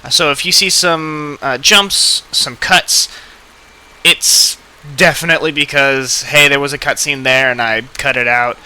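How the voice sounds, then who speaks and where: A man's voice: medium pitch, steady tone, unhurried, pushed and bright, clear, resonant tone, poor audio. A man hosting a let's play with casual, hesitant, rambling gameplay commentary.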